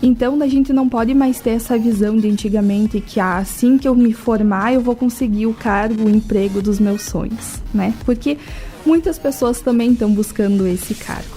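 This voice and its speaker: A woman's voice: on the high side, normal speed, warm tone, soft background music. A woman with a southern Brazilian accent explains things in a didactic way in a radio interview, often asking rhetorical questions and adding check tags. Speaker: interview guest from a language school.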